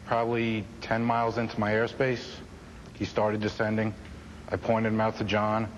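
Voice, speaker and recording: Flat, lowish-voiced American man. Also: moderate pace, slight background noise, poor audio. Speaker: air traffic controller interviewee. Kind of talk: first-hand recollection in interview.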